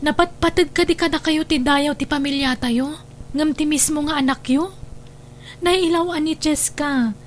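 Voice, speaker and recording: A woman's voice: high-pitched, normal speed, a little hiss or hum, lightly acted. A woman playing an earnest young sister who pleads for her sibling in a radio drama. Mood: pleading, reasoning.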